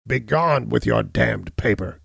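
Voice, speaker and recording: A man's voice: medium pitch, normal speed, creaky, no echo, clean studio sound, pitched above the performer's own voice. A man doing a snarling hunchbacked villain. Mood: furious. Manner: loud, defiant, sneering.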